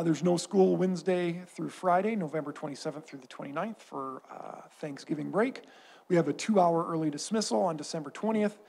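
A man giving a formal report at a school board meeting, measured and full of uh fillers, on a desk microphone in a boardroom. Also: a little high, gravelly, a little mellow. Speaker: school superintendent. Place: boardroom at a long table.

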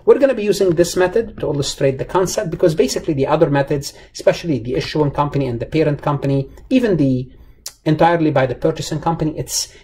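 Husky male accounting lecturer, mellow tone. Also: a little high, fast. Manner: emphatic, repetitive, step-by-step explaining. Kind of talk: explanatory lecture over slides.

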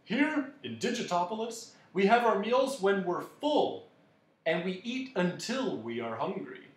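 Male reader as storybook math wizard, insistent, matter-of-fact, lively swings in pitch, echoey. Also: unhurried, distant mic. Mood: impatient explaining. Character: insistent, impatient male mathematician-magician, a blithely logical host. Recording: echoey room.

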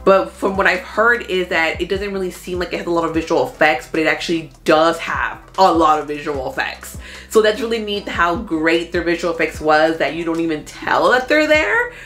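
A woman in her thirties talking casually as a movie vlogger, thinking out loud in an informal, conversational way. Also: lowish voice, animated, normal speed. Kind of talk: casual chatty commentary. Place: small room at home. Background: background music.

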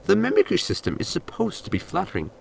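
An actor playing a sardonic, scheming sidekick to a space captain, deadpan and dryly insolent, in a sci-fi comedy audio drama. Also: male voice, high voice, fast, gravelly, breathy edge, exaggerated voice. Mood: dry, teasing.

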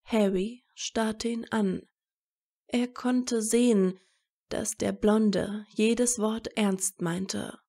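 Woman narrating a story, real slow, soft dark tone w lots of vocal fry. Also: mid-range voice.

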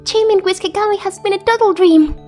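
A woman voicing an excitable, friendly teenage girl in an animated comic dub, eager and exclamatory. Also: very high-pitched, a little fast. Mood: delighted. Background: faint music.

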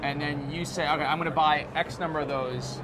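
A man in his thirties hosting a casual interview, asking questions in an animated, conversational way. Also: on the high side, brisk. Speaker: interviewer, host. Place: sneaker shop showroom, indoors. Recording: clear background noise.

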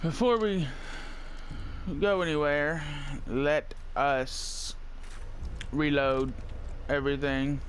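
A man's voice: fairly high pitch, fairly even intonation, takes it slowly, long pauses, slight background noise. A man giving casual let's play commentary on a video game, reacting bluntly and with swearing. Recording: faint game ambience behind voice.

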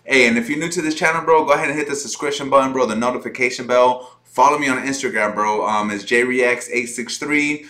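A man's voice: mid-range voice, fast, few pauses, pushed and bright. A man in his thirties with an American accent, giving casual, slangy, hyped reaction commentary as a channel host. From Florida, United States. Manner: informal, hyped, uses slang and repeated "bro".

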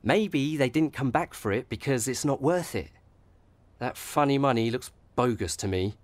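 Man acting unsure young guy in a radio soap, doubtful, medium pitch. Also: animated, moderate pace, dry recording, natural voice. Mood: sceptical. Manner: doubtful, hesitant. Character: hesitant, worried young lad short of cash.

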